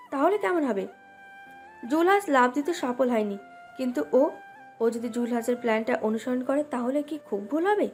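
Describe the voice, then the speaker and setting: A woman's voice: on the high side, darkish tone. A woman reading a scripted romantic story as its narrator. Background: background music.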